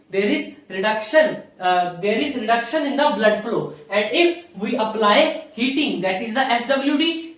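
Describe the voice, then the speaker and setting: A woman with an Indian accent giving an explanatory physiotherapy lecture in a didactic way, repeating key points with little checking tags. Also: fairly high pitch. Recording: very echoey room, distant mic.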